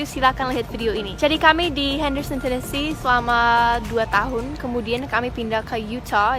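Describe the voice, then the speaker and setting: High-voiced woman vlogging casually. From United States (Texas). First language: English. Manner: chatty, relaxed, with small fillers. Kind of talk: casual talk to camera. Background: background music.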